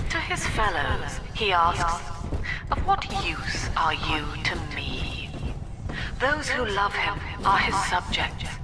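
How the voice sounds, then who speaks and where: An actress playing a cold, cerebral middle-aged psychiatrist and cult leader in a video game, speaking in a formal, precise, solemn manner. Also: female voice, on the low side. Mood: grave, accusing. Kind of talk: dramatic video game monologue.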